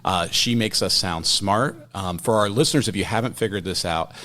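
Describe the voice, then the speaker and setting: Middle-aged man co-host from Philadelphia, lower voice, self-deprecating humor. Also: moderate pace, bright, forceful tone. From Philadelphia, USA. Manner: self-deprecating, joking, curious. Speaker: podcast co-host. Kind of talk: casual podcast chat.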